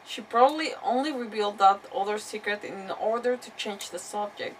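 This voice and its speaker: A woman's voice: mid-range voice, moderate pace, recorded from a distance, a little hiss or hum, rough recording. A woman game streamer giving casual live commentary, thinking aloud with exclamations and mild swearing.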